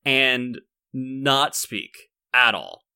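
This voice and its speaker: A young man co-hosting a podcast, reminiscing casually in a halting, rambling way with frequent repeated words. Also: mid-range voice, lively intonation, gravelly, bright, forceful tone, clean tone, no room sound. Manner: halting, repetitive, rambling delivery. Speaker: podcast co-host.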